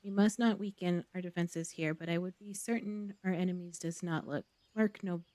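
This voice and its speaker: A woman's voice: fairly low pitch, fairly even intonation, moderate pace, warm tone, no echo. A woman casually commentating as she live-streams a game and reads out its quest text. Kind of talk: casual live gameplay commentary, reading quest dialogue aloud. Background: game music.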